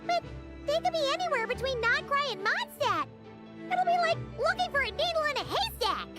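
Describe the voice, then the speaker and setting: A woman's voice: very high voice, big pitch swings, moderate pace. An actress voicing a young, sheepish mercenary woman who stammers nervously. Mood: worried. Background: game music.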